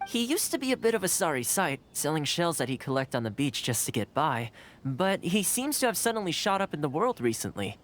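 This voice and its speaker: Low-voiced, animated, helpful local. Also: female voice, brisk, slight background noise. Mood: composed, helpful. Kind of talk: video game cutscene acting.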